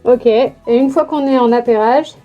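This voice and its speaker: A woman's voice: on the high side, normal speed, muffled recording, soft background music. A woman chatting informally and with slang as she demonstrates motorcycle gear for a vlog. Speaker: motorcycle vlogger testing gear. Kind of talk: casual chat while demonstrating gear.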